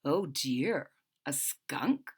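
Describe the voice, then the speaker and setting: A woman voicing a calm, protective mother cat in a storybook, with a measured, motherly manner. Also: sits low, breathy edge, pitched below the performer's own voice, full character voice. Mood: concerned.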